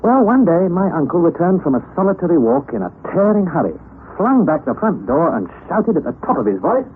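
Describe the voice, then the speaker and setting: A man's voice: high-pitched, very animated, a little fast, narrow, tinny audio. An actor playing an earnest young Englishman with an English accent, telling his adventure formally and dramatically in a radio play. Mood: reflective storytelling. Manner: articulate, formal, dramatic storytelling. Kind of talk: first-person radio drama narration and acting. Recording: noisy, thin, band-limited old radio recording.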